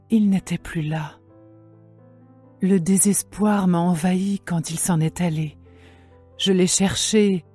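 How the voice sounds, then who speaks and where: A woman playing a lovesick young woman longing for her beloved, in a measured, poetic dramatized scripture reading. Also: on the low side, dark tone, breathy edge. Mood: longing, anguished.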